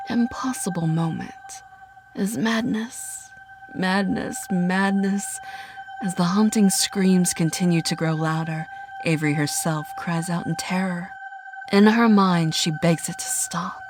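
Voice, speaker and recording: A woman narrating a scripted horror story with a measured, dramatic delivery. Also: lowish voice, background noise. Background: music.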